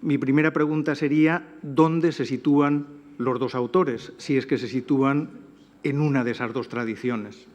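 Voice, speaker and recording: A man moderating a literary panel, asking measured, articulate questions. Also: mid-range voice, steady tone, a little mellow, in a large hall, lo-fi sound.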